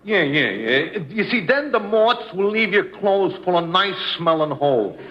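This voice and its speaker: An actor playing a shady, slangy small-time fixer with a sly, confiding manner in a 1950s radio comedy. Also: male voice, high voice, very animated, normal speed, crowd noise. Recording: muffled old radio recording, faint noise.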